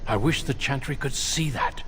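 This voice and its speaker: An actor playing a polite, resolute middle-aged warrior in a fantasy video game, speaking in a formal, measured, earnest way. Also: male voice, medium pitch, fairly bright tone, close to an everyday voice.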